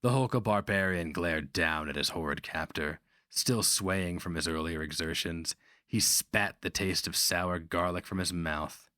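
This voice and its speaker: Slow, low-voiced dramatic male narrator. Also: pushed and bright, no room sound, studio quality. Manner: grave, deliberate, theatrical. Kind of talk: dramatic scripted fantasy narration.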